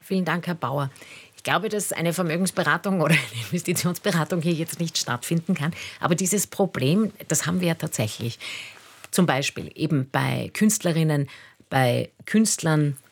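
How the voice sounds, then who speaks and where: Husky woman hosting radio. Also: deep voice, expressive, moderate pace. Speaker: radio phone-in host. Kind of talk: moderating a live call-in discussion. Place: radio studio.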